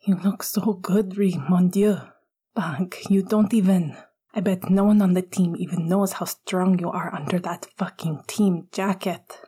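A woman whispering the lines of an eager young male hockey player, breathless and cursing, in a husky voice. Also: high voice, fairly even intonation, measured pace, mellow. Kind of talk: whispered audiobook reading.

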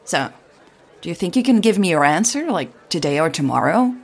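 Audio drama role: smiling, salesy male PR contact acted by a woman, husky and creaky. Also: on the low side, sing-song, fairly quick, a faint crowd, heavily acted. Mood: friendly, hurried. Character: eager, smiling male tech marketing contact, persuasive.

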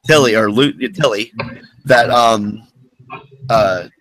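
A man hosting a casual fan podcast, rambling with lots of fillers and restarts, his voice getting hoarse. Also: fairly low pitch, takes it slowly, a bit bright, slight background noise, low-quality recording. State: losing his voice. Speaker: podcast host.

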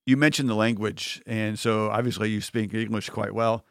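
A man hosting a podcast, asking friendly, measured questions. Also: on the low side, steady tone, dry recording, clean studio sound. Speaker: podcast host. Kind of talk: podcast interview.

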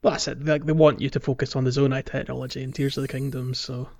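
A man's voice: medium pitch, level tone, quick pace, creaky, darkish tone. A man co-hosting a podcast, talking casually about a video game. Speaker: podcast co-host. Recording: slightly dull, lo-fi audio.

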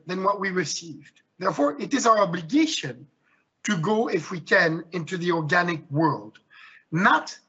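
Passionate high-voiced Swiss-born man. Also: sharp tone, breathy edge, dull audio. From Switzerland. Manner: passionate, emphatic. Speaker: winemaker. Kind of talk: panel discussion.

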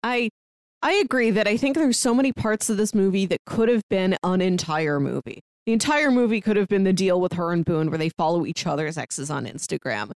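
A woman talks through a film in a casual podcast style, slipping into quoted lines as she retells. Her pitch sits in the middle, and the sound is very dry and close, without room echo. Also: lively intonation, fairly quick, clear, resonant tone. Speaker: podcast co-host.

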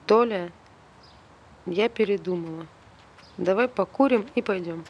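A woman's voice: medium pitch, measured pace, lo-fi sound, slight background noise, close to an everyday voice. An actress playing a blunt, needling woman who questions her friend, in naturalistic stage-play dialogue. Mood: teasing, needling. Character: blunt, teasing, wary woman friend of Tolya.

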